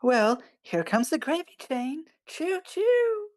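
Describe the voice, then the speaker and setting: Woman as eerie doting mother figure: higher-pitched, very animated, coaxing, playful sing-song, film script read. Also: slow, full character voice. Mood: playfully doting. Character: sweetly doting, sinister button-eyed other mother. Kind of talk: screenplay table read, acting.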